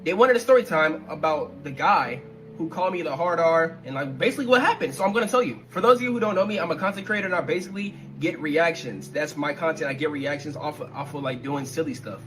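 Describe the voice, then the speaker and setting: A man's voice: a little high, fast, faint music behind. A man telling a casual, slangy story about one of his videos, acting out the other people's lines as he goes. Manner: animated, slangy, laughs while telling. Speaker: content creator telling a story.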